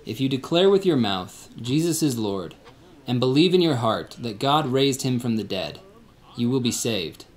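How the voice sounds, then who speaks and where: A man acting in a church radio play, calm and gently teasing. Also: medium pitch, normal speed.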